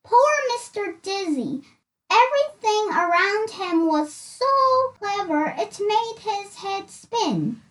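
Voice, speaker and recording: A woman reading a children's story aloud in an expressive, deliberate way. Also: very high-pitched, recorded from a distance. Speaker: storybook reader.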